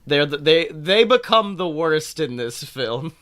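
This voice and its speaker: A man co-hosting a casual film chat podcast, talking in a jokey, animated way and swearing freely. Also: fairly high pitch, unhurried. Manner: animated, jokey, acts out imagined dialogue. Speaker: podcast co-host. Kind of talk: casual chat about films.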